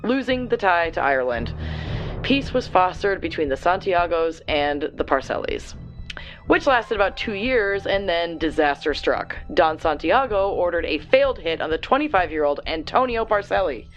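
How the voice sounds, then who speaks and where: A woman's voice: on the low side, expressive, normal speed, muffled recording, soft background music, close to an everyday voice. An actress playing a brash, tech-savvy young woman who gives wry, slangy briefings in an audio drama. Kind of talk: audio drama acting, conversational exposition.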